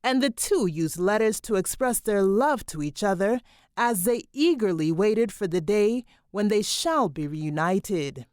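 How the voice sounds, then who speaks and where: A woman narrating a documentary in a scripted, measured storytelling delivery. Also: mid-range voice, expressive, darkish tone, clean tone, no echo, clean studio sound.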